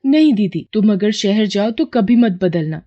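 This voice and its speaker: A woman's voice: medium pitch, animated, moderate pace, muffled recording, lightly acted. A woman voicing an earnest, devoted and principled younger sister in a Hindi cartoon story, with a pleading manner. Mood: anxious, caring.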